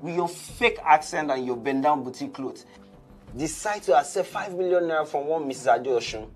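A man's voice: on the high side, fairly quick, faint music behind, natural voice. An actor playing an angry young male singer who scolds his manager. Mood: furious. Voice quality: exasperated, scolding. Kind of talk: TV comedy sketch acting.

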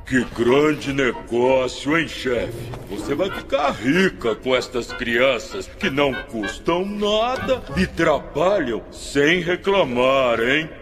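A man's voice: mid-range voice, lively intonation, takes it slowly, few pauses. A man with a Brazilian accent playing a fretful, callous henchman in a dubbed children's cartoon, whining and exclaiming. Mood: fawning. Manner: whiny, exclamatory, dragging words. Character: grumbling, fretful male henchman, sneaky and callous. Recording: music playing behind the voice.

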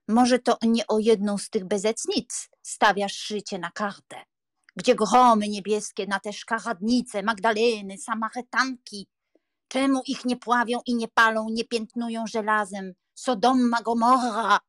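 A woman's voice: on the high side, unhurried, fairly bright tone, dry recording, clean studio sound. A woman playing a pious, fretful elderly aunt in an audiobook drama, scolding, sighing and lamenting. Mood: indignant.

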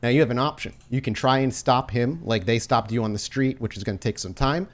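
A man running a tabletop game as game master, narrating scenes and talking through rules in an excitable, informal way. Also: mid-range voice, brisk. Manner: excitable, informal, laughs easily.

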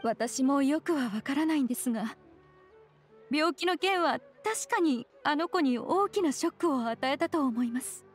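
A high-voiced young woman speaks with formal, earnest politeness, a caring figure in game dialogue. Faint music runs beneath her lines. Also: lively intonation, normal speed. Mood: troubled.

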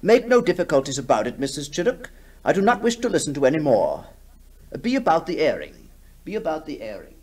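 A man's voice: a little high, sing-song, a little fast, darkish tone, husky. A man playing an imperious, hearty, impatient country squire in a radio drama, commanding and dismissive. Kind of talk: 1960s radio drama acting.

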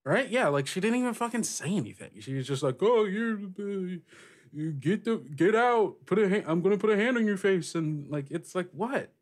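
A man voicing a frank, rough-edged female pirate captain in a casual, halting, sweary way for a tabletop game. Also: high-pitched, expressive, voice pushed up. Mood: baffled, indignant.

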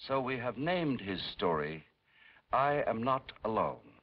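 A middle-aged man presenting a 1950s scripted narration in a measured, formal, dramatic manner. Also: mid-range voice, big pitch swings. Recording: dull vintage 1950s film soundtrack, faint hiss.

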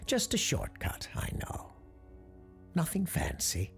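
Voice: mid-range voice, huge pitch swings, slow, vocal fry, faint music behind, pitched above the performer's own voice, exaggerated voice. A performer voicing a stern, commanding old woman of magical power in an audio storybook, curt and scolding. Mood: calm, commanding.

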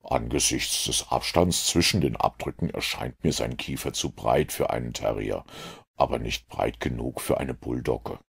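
A man voicing a self-assured, analytical detective in an audiobook, with a gravelly, husky voice and a measured, lecturing manner. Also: very deep voice, full character voice. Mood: smug, assured. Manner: measured, didactic, superior. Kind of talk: audiobook character reading.

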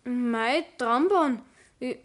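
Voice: medium pitch, very slow. A young actor playing a cheeky, haughty teenage apprentice boy with a Bavarian accent, grumbling offhand back talk in a 1960s radio play.

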